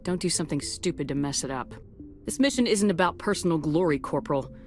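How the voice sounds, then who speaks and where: Female actor as authoritative space marine commander, low register, businesslike video game read, light score underneath. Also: fast, a little mellow, soft background music, close to an everyday voice. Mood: stern, firm. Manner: firm, authoritative.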